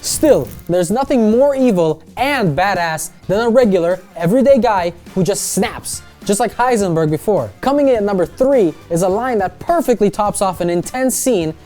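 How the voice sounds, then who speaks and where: A woman's voice: mid-range voice, animated, normal speed, hardly any pauses, mellow, soft background music. A woman presenting a scripted countdown list with chatty, opinionated pop-culture commentary.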